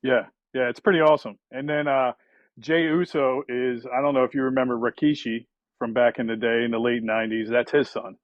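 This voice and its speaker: A man's voice: medium pitch, fairly even intonation, a little fast, no echo. Man on a dull, lo-fi line. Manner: knowledgeable, upbeat. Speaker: podcast co-host. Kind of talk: casual sports chat. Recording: dull lo-fi sound.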